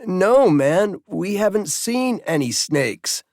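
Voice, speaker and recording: Audiobook role: adult male as stoned teen boy, guarded, careful enunciation, pitched well up. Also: very animated, darkish tone, dry recording, clean studio sound, full character voice. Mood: guarded, slow. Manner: slow, deliberate enunciation. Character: stoned, scruffy teenage boy, slow and guarded.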